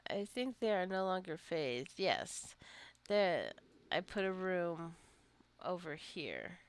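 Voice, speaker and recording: Creaky-voiced woman chatting over gameplay. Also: on the low side, very animated, slow. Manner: rambling, musing aloud to the game characters. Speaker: video game let's-play host. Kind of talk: casual gameplay commentary.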